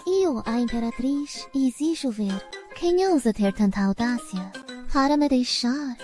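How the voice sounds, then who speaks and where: Haughty empress, high and mellow woman acting. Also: lively intonation, unhurried. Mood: threatening. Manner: haughty, commanding, formal. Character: imperious, haughty, threatening female empress of a cultivation world. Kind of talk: dramatic motion-comic dubbing. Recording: music behind the voice.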